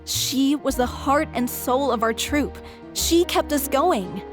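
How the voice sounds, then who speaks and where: A woman playing a gentle, devoted young stage performer in an anime game, earnest and polite. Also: high-pitched, expressive, takes it slowly, music in the background. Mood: wistful.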